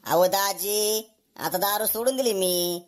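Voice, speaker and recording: A woman's voice: medium pitch, takes it slowly, natural voice. A shameless, boastful drunkard of a brother-in-law, acted by a woman in a comedy cartoon. He is a brazen freeloader with a whining way of talking.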